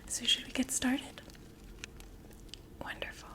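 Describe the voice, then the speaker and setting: A woman playing a polite vampire makeup saleswoman in an ASMR roleplay, whispering softly with gentle, attentive, explanatory patter. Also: slow pace. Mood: polite, reassuring. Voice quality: soft breathy whisper.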